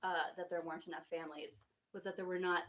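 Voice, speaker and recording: A woman with a slightly low voice chats casually about a novel. She acts as a co-commentator, chiming in with informal remarks on the reading. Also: level tone, fast talker, a bit bright.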